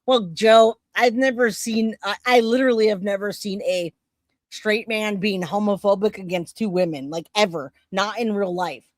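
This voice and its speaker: A woman in her forties chats to her livestream viewers in a casual, slangy style. She is animated and quick to joke, with a medium-pitched voice and a dry, close sound. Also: no echo. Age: 40s. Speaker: livestream host reacting to news.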